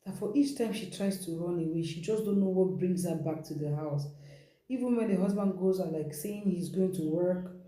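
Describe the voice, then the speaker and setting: A woman's voice: on the low side, dark tone. A woman with a Nigerian accent telling a dramatic story as a host, emphatic and repeating phrases for effect. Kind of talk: dramatic storytelling, retelling someone's account. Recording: echoey room.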